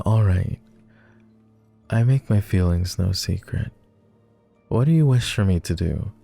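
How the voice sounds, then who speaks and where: A man's voice: low voice, gravelly, dark tone, heavily acted. A man playing a clingy, lovestruck and melancholy vampire lord in an intimate boyfriend roleplay ASMR, speaking tenderly in formal, old-fashioned, hesitant phrases. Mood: anguished.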